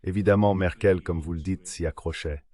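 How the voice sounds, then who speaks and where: A man with a very low voice delivers a translated voice-over of political commentary. His delivery is measured and read out, like a dubbed interview answer. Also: steady tone, a little mellow.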